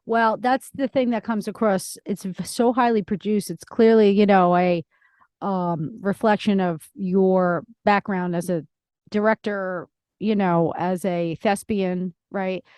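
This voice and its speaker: A woman's voice: medium pitch, steady tone, a little slow, darkish tone, smooth, no echo. A woman hosting a podcast, talking casually in an effusive, emphatic way. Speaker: podcast host. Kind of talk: casual conversational interview.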